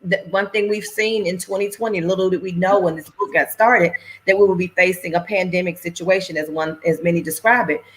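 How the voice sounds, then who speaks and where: A middle-aged woman chatting on a livestream, telling stories with animated, folksy phrasing. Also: on the low side, fairly quick, low-quality recording. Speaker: anthology organizer and guest. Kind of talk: casual conversation on a livestream.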